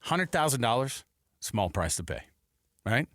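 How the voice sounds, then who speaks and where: An American man hosting live sports talk radio, casual, animated and slangy, on a close studio microphone. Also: medium pitch, unhurried, dry recording. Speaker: sports radio show host.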